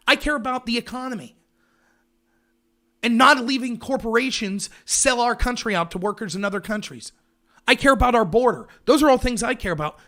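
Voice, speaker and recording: High-voiced sarcastic man ranting. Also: bright, forceful tone. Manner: emphatic, sarcastic, rhetorical questions. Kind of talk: political livestream rant.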